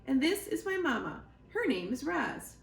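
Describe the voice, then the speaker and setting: Woman voicing an anxious, high-pitched little gosling boy. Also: sing-song, normal speed, creaky, roomy sound, a little hiss or hum, full character voice. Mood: excited. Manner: squawky, eager questioning. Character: anxious young male gosling, curious and childlike.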